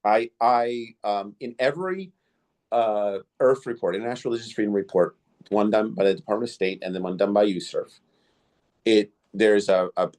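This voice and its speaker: Male podcast host with Indo-Greek as first language, slightly low voice, loose, filler-heavy storytelling. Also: darkish tone. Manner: rambling, many "you know" fillers, restarts. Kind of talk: casual interview storytelling.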